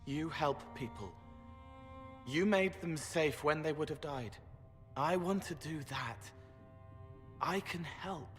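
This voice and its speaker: A boyish, otherworldly young spirit from a fantasy game, pitched a little high. He is gentle and earnest, very literal, and talks in short, cryptic phrases. Music plays clearly behind him in a reverberant space. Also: takes it slowly, pauses often. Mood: earnest, pleading. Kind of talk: video game cutscene acting.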